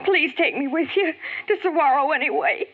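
A woman's voice: sits high, natural voice. A woman playing a scared, stammering young woman. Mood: frightened. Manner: halting, stammering, pleading. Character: frightened young woman, Francis, fleeing stepfather. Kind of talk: 1940s radio acting. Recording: tinny old radio broadcast.

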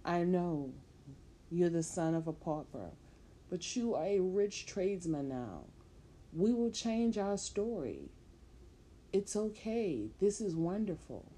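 A woman's voice: lowish voice, a little fast, few pauses, warm tone. A woman voicing a desperate young pregnant woman. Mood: eager. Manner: pleading, emotional.

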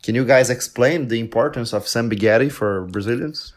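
A man's voice: mid-range voice, moderate pace. A man joking playfully during a casual podcast chat. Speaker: podcast guest. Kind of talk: casual conversation.

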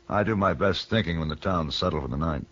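An actor playing a cool, laconic gunfighter for hire in an American radio Western, measured, terse and firm. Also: male voice, deep voice, a bit bright, a little hiss or hum. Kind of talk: old radio Western acting and narration. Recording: muffled vintage radio broadcast.

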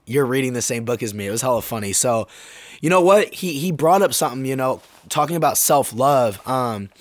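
A young man with a sore throat, slightly hoarse, hosting his own podcast in a laid-back, slangy, rambling way. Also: medium pitch, no echo. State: sore throat, getting over being sick. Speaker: podcast host. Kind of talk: casual solo podcast talk.

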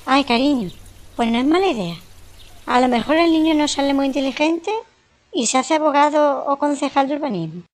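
Woman acting a high-pitched, sardonic wife. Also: expressive, brisk. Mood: affectionate, wry. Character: long-suffering, sardonic wife and mother. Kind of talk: comic radio play acting.

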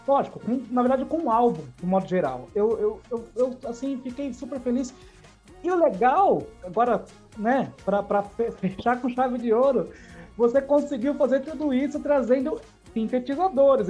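A woman's voice: fairly high pitch, warm tone. A Brazilian woman in her thirties hosting a casual interview, effusive and often repeating words as she searches for them. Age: 30s. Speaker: podcast host.

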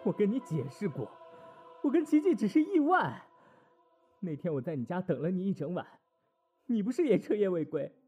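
Over background music, a proud, jealous ex-boyfriend played by a man argues in an audio drama. The tone is mellow but highly animated, emotional and accusing. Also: normal speed, pauses often.

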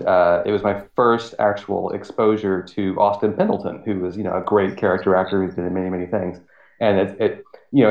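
A man in his late forties chatting as a podcast guest in a halting way, often repeating words. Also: fairly low pitch. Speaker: podcast guest, freelance writer. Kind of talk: casual interview chat. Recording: dull, echoey remote-sounding recording.

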